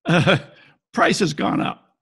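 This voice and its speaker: A man playing a cynical, tough-talking private detective in a wry, deadpan 1940s radio style. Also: slow pace. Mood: cold, hard bargaining. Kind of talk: 1940s detective radio acting, narration and dialogue. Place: home, recorded over video call. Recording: video call recording with faint music behind.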